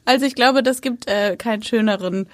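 A woman's voice: a little high, a little fast, no echo. A woman hosting a podcast who asks thoughtful, searching questions. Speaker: podcast host. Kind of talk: conversational interview.

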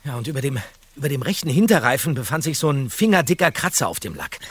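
Earnest, husky boy detective. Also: mid-range voice, animated, a bit bright, no room sound. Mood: eager reporting. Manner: halting, repeats words while recounting. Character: earnest, observant teenage boy detective reporting in detail. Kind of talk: German radio play acting.

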